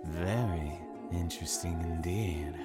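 Very deep, slow, ominous storyteller played by a man. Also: loud music behind the voice. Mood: ominous intrigue. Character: sinister, amused storyteller musing over a tale. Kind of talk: theatrical audio drama narration.

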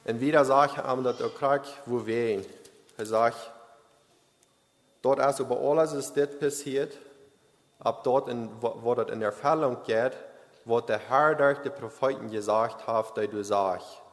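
A man giving a Christmas sermon, reading verses and explaining them haltingly, with frequent hesitations. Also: medium pitch, fairly even intonation. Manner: halting, with many restarts and hesitations. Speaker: lay preacher. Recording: long reverb of a hall.

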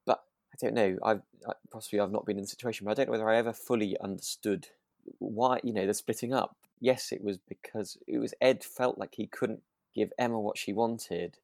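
A man with a low voice chats as a podcast co-host. He rambles a little and feels his way through each thought, recorded dry and close. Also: fairly even intonation, fairly quick, gravelly, darkish tone, no echo. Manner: hesitant, rambling, thinking aloud. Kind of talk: relaxed chat reviewing a radio drama.

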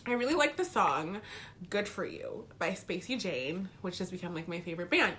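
A woman's voice: lowish voice, lively intonation, a bit bright. A young woman, a college student, co-hosting a casual podcast, bubbly and full of "like" fillers. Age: young adult. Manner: bubbly, quick, filler-heavy. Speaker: podcast co-host. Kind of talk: casual chat.

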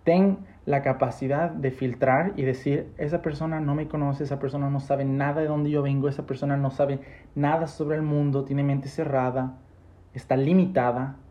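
Young male podcast host, mid-pitched with a warm, dark tone, emphatic and speaking straight to listeners. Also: steady tone, moderate pace, dull audio. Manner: emphatic, addresses listeners directly. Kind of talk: podcast talk and commentary.

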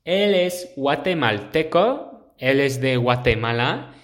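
Slow, hesitant young man teaching. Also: medium pitch, lively intonation. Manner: slow, hesitant, pauses to search for words. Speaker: podcast host. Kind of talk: solo podcast explaining to learners.

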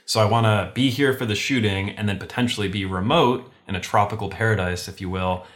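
Deep-ish guy on a zoom call, laid back, lots of ums and restarts. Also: brisk. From Venezuela. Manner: relaxed, rambling with fillers and restarts. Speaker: podcast guest. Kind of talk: casual video call chat. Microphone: video call.

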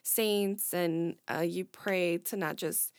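A woman's voice: on the low side, steady tone, takes it slowly, no echo. A woman whose first language is Spanish, chatting casually in a rambling, hesitant way, often repeating herself. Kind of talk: casual chat.

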